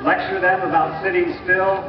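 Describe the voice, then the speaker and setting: A man giving a scripted, explanatory historical narration in a measured, didactic way, like a presenter at a live dramatization. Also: high voice, level tone. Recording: muffled, echoey distant recording with clear noise.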